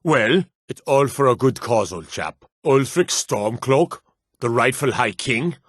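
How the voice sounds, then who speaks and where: A man playing a dignified, courtly lord with a formal, measured way of talking in a fantasy video game. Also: mid-range voice, animated, husky, no room sound, clean studio sound. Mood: indignant.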